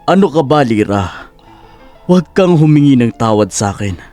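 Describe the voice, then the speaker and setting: Man acting a tender old father, medium pitch. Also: lively intonation, moderate pace, warm tone, soft background music, close to an everyday voice. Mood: tender, reassuring. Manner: tender, soothing. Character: gentle, devoted, stooped old foster father. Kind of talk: Filipino audio drama acting.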